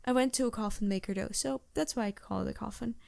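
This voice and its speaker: An actress plays a polite vampire woman passing as a humble traveling merchant. Her voice sits a bit high and comes through very dry, with no room sound. She speaks in an anxious, hesitant way, stumbling over words and apologizing as in an intimate fantasy audio drama. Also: fast talker, creaky, a little mellow, no room sound. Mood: sheepish. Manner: hesitant, stammering, courteous, apologetic. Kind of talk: fantasy roleplay audio drama, addressing the listener.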